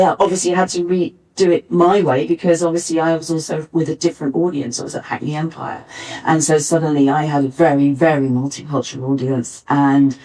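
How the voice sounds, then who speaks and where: An older woman speaking as a panelist at a live event, reminiscing in a halting way and repeating words as she thinks. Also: deep voice, a little mellow. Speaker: pantomime writer, director and actor, panelist. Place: arts centre stage, live panel. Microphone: distant backup recorder. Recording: distant mic, uneven backup recording.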